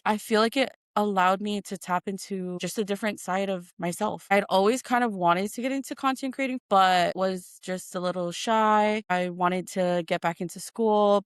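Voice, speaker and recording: A woman's voice: medium pitch, fairly even intonation, normal speed, few pauses, clean tone, no echo, clean studio sound. A woman in her thirties from Hawaii and American Samoa, sharing personal stories casually and expressively as an interview guest. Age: 30s. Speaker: interview guest, content creator and performer.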